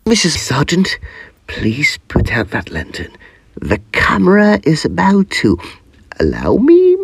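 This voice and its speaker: An actor playing an eager, exacting young Edwardian gentleman ghost hunter with a formal, theatrical manner in an audio drama. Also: on the high side, wildly swooping pitch, a little slow, vocal fry, slightly rough, dry recording, exaggerated voice. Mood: tense, commanding.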